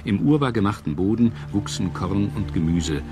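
Deep-voiced male documentary narrator over music. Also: lively intonation, moderate pace. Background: background music.

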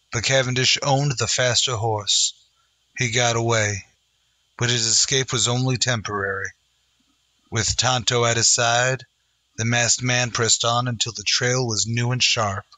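A man's voice: mid-range voice, sharp tone, dry recording. A man narrating a scripted western in dramatic old-time radio style.

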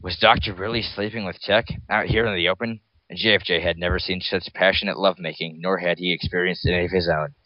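Low-voiced man reading a story dramatically. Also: steady tone, fast, few pauses, no room sound. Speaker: reader. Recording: dull lo-fi close recording.

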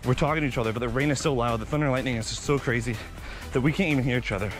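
Young man, mid-pitch voice, breathless from jogging, lively storytelling, outdoor beach, action camera mic, music playing underneath. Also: racing. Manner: animated, excitable storytelling with sound effects. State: slightly out of breath from running. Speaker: vlogger. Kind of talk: casual storytelling while jogging. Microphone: body-mounted action camera.

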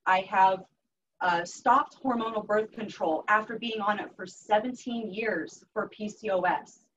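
A woman's voice: mid-range voice, measured pace, a bit bright. An upbeat woman in her thirties with a Western Pennsylvania accent, teaching a cooking class and talking with ums and restarts. She sounds a little far from the mic. The recording is dull and has a faint hiss under it. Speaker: health coach doing a cooking demo. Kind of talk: live cooking class talk.

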